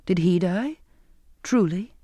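A man plays an earnest, troubled young girl in an audiobook story. The words come slowly and formally, with some hesitation, in a dry, close recording. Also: high-pitched, dark tone, no room sound. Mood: uneasy. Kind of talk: audiobook character dialogue.

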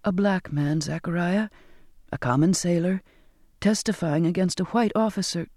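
A man's voice: high-pitched, moderate pace, darkish tone, no echo, clean studio sound. A man voicing a troubled, earnest, well-bred young girl in an audiobook, with a hesitant, formal manner. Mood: hesitant, embarrassed. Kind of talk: audiobook character dialogue.

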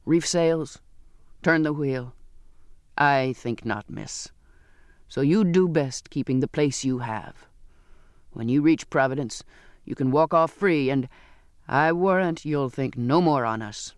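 A man's voice: mid-range voice, slow, clear, resonant tone, dry recording, studio quality, lightly acted. A man voicing a hulking, bitter ship's cook in an audiobook, growling with blunt scorn and hostility. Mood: gruff, dismissive. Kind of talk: audiobook character voice.

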